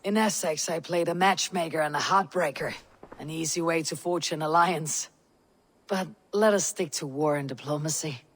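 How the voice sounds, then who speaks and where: Resolute Viking warrior leader. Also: female voice, deep voice, normal speed. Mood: solemn warmth. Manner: resolute, formal. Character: steadfast, commanding Viking warrior leader, solemn and loyal. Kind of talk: dramatic video game acting.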